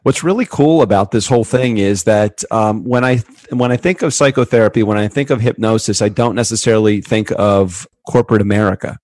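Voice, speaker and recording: Um homem apresentando um podcast, falando de forma descontraída e animada, com repetições e recomeços. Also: male voice, lowish voice, brisk, few pauses, a little mellow, no echo, studio quality. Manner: upbeat, chatty, with restarts. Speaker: podcast host. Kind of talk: casual podcast interviewing.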